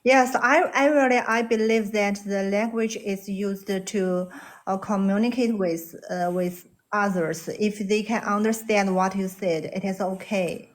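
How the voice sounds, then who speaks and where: A woman's voice: medium pitch, steady tone, a little slow. A woman from China with a Chinese accent, speaking English in a casual chat, hesitant with many fillers and restarts. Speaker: caller on a live English voice room.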